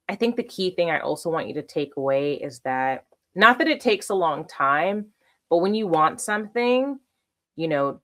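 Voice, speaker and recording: A woman in her thirties from South Florida, talking casually to camera as an artist hosting a how-to series, chatty and personal, often checking in with the listener. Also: low-pitched.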